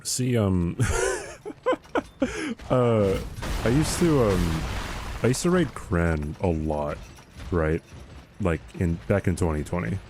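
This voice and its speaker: A man streaming a game live, chatting casually with viewers in a joking, rambling way, with a calm radio-type voice. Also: low voice, slow pace, pauses often, noisy background. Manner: joking, rambling, many fillers.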